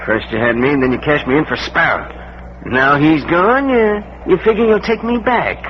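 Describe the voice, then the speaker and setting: A man's voice: a little high, lively intonation, moderate pace, natural voice. An American man playing a tough, bitter small-time crook, slangy and sarcastic, in 1940s radio crime style. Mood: bitter accusation. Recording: muffled, noisy old radio recording.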